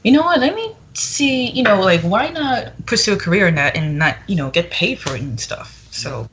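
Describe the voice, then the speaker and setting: A woman's voice: medium pitch, sing-song, moderate pace, fairly bright tone. A woman in her twenties chatting candidly and frankly as an interview guest, often giggly. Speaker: adult film performer guest being interviewed. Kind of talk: casual, candid interview chat. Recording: dull, muffled sound with background noise.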